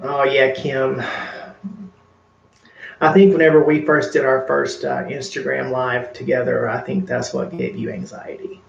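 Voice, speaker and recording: A man's voice: medium pitch, expressive, measured pace, gravelly, echoey room, muffled recording. A man casually chatting to his livestream viewers, rambling and earnest with many fillers. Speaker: makeup vlogger.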